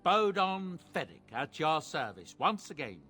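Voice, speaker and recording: An actor playing a jovial, humble traveling merchant with courtly, ingratiating manners in fantasy game dialogue. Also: male voice, a little high, big pitch swings, measured pace, sharp tone, slightly rough, faint music behind, exaggerated voice. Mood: grateful, jovial.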